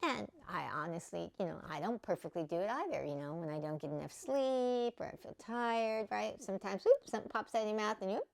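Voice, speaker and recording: A woman's voice: mid-range voice, brisk, creaky, hardly any pauses, a little mellow. A middle-aged woman hosting a spiritual talk, speaking casually and often checking in with "okay?" and "you know". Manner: conversational, many fillers and "okay?" check-ins. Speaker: spiritual teacher and podcast host. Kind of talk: casual spiritual teaching monologue.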